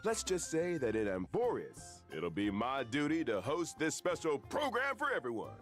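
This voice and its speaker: A man's voice: a little high, huge pitch swings, normal speed, faint music behind. A man voicing a jovial, theatrical host of a video game special program, showy and courteous. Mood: playful, theatrical.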